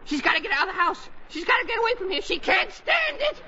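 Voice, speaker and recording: An actor playing an excitable, melodramatic teenage boy, a goofy sidekick, in 1940s radio comedy style with exaggerated delivery. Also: very high voice, quick pace, full character voice. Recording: noisy, muffled 1940s radio recording.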